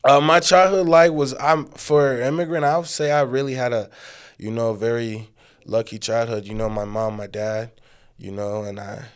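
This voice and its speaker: A man's voice: mid-range voice, steady tone, moderate pace, smooth. A young man in his twenties, a guest, from Uganda, talking easygoing and loose with lots of "you know" fillers. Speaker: guest, amateur fighter. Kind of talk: casual interview chat.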